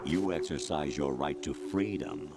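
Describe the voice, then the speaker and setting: A man voicing a cold, condescending artificial intelligence in a video game cutscene, lecturing in a measured way. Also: very deep voice. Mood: coldly lecturing. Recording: loud music under the voice. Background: loud music.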